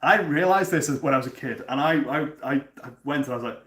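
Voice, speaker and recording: Casual cooking-stream host, a man in his thirties from North Wales, chatty with lots of restarts, mid-pitched, echoey kitchen sound. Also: quick pace, a bit bright. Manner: chatty, frequent word repeats and restarts, swears casually. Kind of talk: casual live-stream chat, answering viewers. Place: home kitchen.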